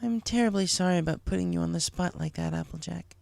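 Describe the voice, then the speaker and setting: Man voicing a polite schoolteacher mare, raised pitch. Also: fast talker, gravelly, a little mellow, no room sound, studio quality. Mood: apologetic. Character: polite, apologetic adult mare schoolteacher pony.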